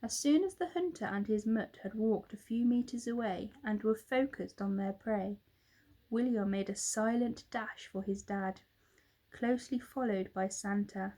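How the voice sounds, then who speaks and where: A woman narrating a children's storybook in a dramatic, expressive way. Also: mid-range voice, unhurried, poor audio. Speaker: storybook reader, teacher.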